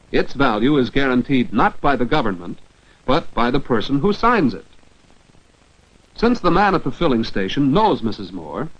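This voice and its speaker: A man narrates a 1940s educational film in an American accent, on a dull old soundtrack. His pitch moves in lively sweeps as he instructs and enunciates each word precisely. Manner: didactic, rhetorical questions, precise enunciation.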